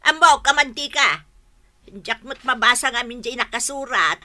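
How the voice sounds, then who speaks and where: Sharp, high-voiced pushy aunt. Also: female voice, moderate pace. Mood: greedy, insistent. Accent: Ilocano, northern Philippines. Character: pushy, grasping aunt angling for package gifts. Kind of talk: Ilocano radio drama acting.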